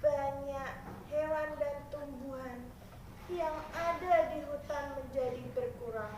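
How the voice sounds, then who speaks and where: A woman voicing a pleading old grandmother in a folk tale, speaking slowly and haltingly in an imploring way. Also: high-pitched. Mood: lamenting. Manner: slow, halting, imploring delivery. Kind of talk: dramatized fairy-tale reading. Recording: roomy, echoing, distant, noisy recording.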